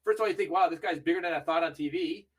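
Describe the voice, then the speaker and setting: An older man who grew up in Argentina, telling stories in a chatty, animated way as a podcast interview guest. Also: on the high side, very fast, slightly rough, distant mic. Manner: chatty storytelling, animated when recalling matches. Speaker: interview guest, video review manager.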